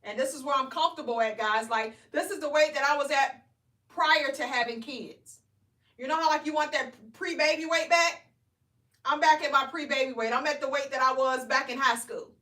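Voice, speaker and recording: A woman coaches viewers on a live stream, energetic and preachy, tagging "right?" onto her points. Her voice sits high. It echoes around the room, a little away from the mic. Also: normal speed, distant mic. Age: 40s. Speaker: weight loss coach. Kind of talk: live stream motivational talk.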